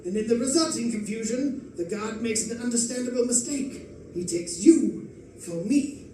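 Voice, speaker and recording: An actor playing a gleeful, erudite criminal mastermind in an old-time radio drama, florid and condescending in manner. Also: male voice, extremely high pitch, lively intonation, moderate pace, warm tone. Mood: smug, scheming. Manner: theatrical, condescending, chuckling, florid. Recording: distant, very echoey live stage recording, faint noise.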